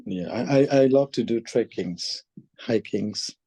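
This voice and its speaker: A man from Nepal answering speaking test questions, hesitant with many pauses and restarts. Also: on the low side, warm tone, breathy edge, no room sound. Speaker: test candidate. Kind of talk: mock speaking exam answers.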